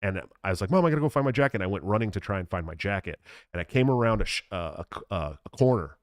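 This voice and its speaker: A man in his thirties hosting a casual podcast, telling a story with many ums, likes and pauses to get around a mild stutter. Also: lowish voice, expressive, no room sound, clean studio sound. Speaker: podcast host.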